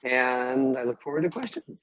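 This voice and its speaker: A man's voice: mid-range voice, big pitch swings, moderate pace. A man who is a native English speaker, lecturing as a psychology professor in plain, short, emphatic sentences. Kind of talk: academic lecture and discussion. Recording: dull, muffled lo-fi audio.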